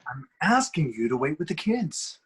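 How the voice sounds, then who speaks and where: A man playing a stubborn, anguished superhero husband and father in a dramatic read of an animated film script, sounding urgent and strained. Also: a little high, moderate pace, slightly rough, full character voice. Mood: firm.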